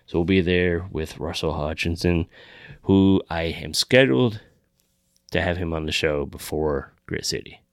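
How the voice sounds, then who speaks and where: A man's voice: low-pitched, measured pace, dry recording, studio quality. A man co-hosting a podcast, chatting casually and rambling with some swearing. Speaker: co-host of a Halloween podcast. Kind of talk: casual chat, signing off a show.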